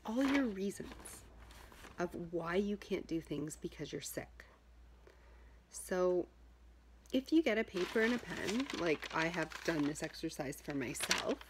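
A woman in her thirties with a husky voice, talking informally to camera and coaching in an emphatic, repetitive, conversational way. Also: low voice. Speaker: health vlogger giving self-help advice. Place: small furnished room at home, indoors.

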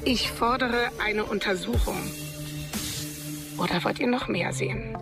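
A woman's voice: on the high side, measured pace, lightly acted. An actress playing a defiant, smug female hacker activist delivering a declamatory report in a sci-fi audio drama. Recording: loud music behind the voice.

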